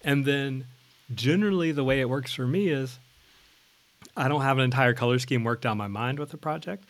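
A man hosting a casual conversation, speaking in a relaxed, easygoing and fluent way. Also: medium pitch, brisk, no echo.

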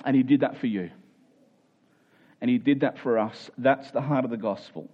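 A man's voice: medium pitch, moderate pace, breathy edge, highs cut off. A man preaching a sermon in an earnest, exhorting manner, hammering short phrases home through repetition. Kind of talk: sermon with scripture reading and prayer.

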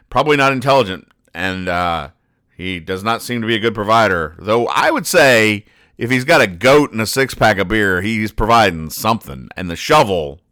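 A man hosting a podcast, reading out and explaining comic strips in a deadpan, mock-serious manner. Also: deep voice, smooth, dry recording, studio quality. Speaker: podcast host.

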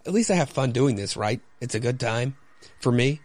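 Lively, rambling male podcast host. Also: medium pitch, dry recording. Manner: rambling, self-deprecating, halting. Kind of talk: casual comic commentary and narration.

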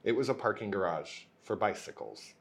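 Host role: man, lowish voice, friendly casual interviewer. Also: expressive, gravelly. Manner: friendly, quick follow-up questions.

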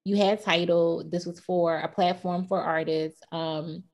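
A woman co-hosting a casual podcast chat, relaxed and opinionated, laughing often. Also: lowish voice, measured pace. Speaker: co-host.

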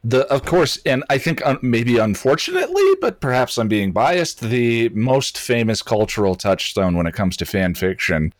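A man's voice: mid-range voice, big pitch swings, normal speed, few pauses, no room sound. A man interviewing in a casual chat, with a hesitant, rambling way of getting to his point.

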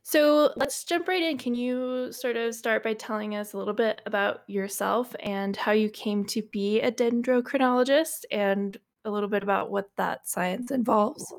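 A woman's voice: fairly high pitch, moderate pace, few pauses. A woman hosting a podcast, reading the introduction and asking questions in a friendly manner. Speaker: podcast host.

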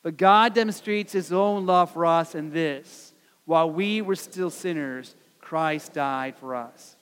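American man, pastor, high-pitched, earnest and repetitive, sermon in a reverberant hall. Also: slow, fairly bright tone, smooth. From United States. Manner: earnest, repetitive emphasis, many fillers.

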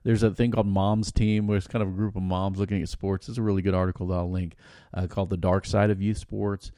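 A middle-aged American man with a projecting voice, co-hosting a podcast in a casual, colloquial, animated storytelling way. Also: low-pitched, fast, dry recording, clean studio sound. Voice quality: loud, projecting voice. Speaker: podcast host. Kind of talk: casual conversational podcast discussion.

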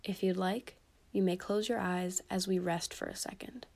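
A woman leading a scripted guided meditation for sleep, speaking gently and soothingly with pauses between instructions. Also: fairly low pitch, steady tone, vocal fry.

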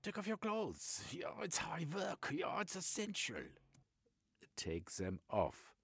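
A man voicing a flamboyant, pushy middle-aged German doctor with a German accent, excitable and lecturing. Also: a little high, slow, gravelly, breathy edge, dry recording, studio quality, voice pushed up, full character voice.

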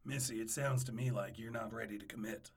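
Man playing a gravelly, scruffy ex-boyfriend. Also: on the low side, fairly even intonation, a little fast. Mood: goading. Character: scruffy, easygoing, goading ex-boyfriend. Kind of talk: comedic audio drama acting.

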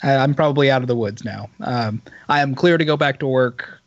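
Hoarse, raspy-voiced man rambling. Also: medium pitch, brisk, no room sound, highs cut off. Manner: rambling, self-interrupting storyteller. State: hoarse, recovering from illness. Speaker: podcast co-host. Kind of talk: casual banter.

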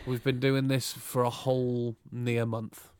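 A man's voice: medium pitch, level tone, a little slow, a little mellow, smooth, no room sound. A man co-hosting a podcast, rambling in an easygoing casual chat. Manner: rambling, easygoing, restarts his thoughts. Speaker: podcast co-host.

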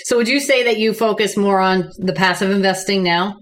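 Medium-pitched woman interviewer. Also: fairly quick. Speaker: podcast host, interviewer. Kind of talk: conversational interview questions.

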